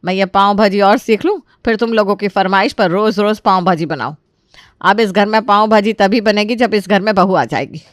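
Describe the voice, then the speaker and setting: A woman's voice: mid-range voice, normal speed, dry recording, lightly acted. Woman as bossy middle-aged mother-in-law, dramatic, scolding, exasperated, cartoon family story, close dry sound.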